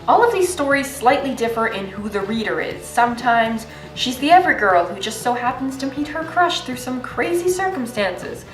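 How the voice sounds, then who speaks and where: A woman's voice: a little high, lively intonation, moderate pace, hardly any pauses, echoey room, distant mic, faint music behind, poor audio. A young woman narrating a video essay in a casual, sarcastic and profane way.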